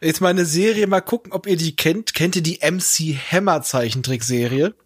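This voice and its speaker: A man's voice: fairly high pitch, moderate pace, slightly rough. A man talking casually and playfully as a panelist on a podcast. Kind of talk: casual chat.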